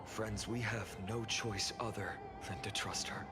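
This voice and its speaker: An actor playing an earnest young male leader in a video game, speaking in measured, resolute speeches. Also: on the low side, steady tone, close to an everyday voice. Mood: calm, explaining. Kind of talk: video game story voice acting. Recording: music behind the voice. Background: game music.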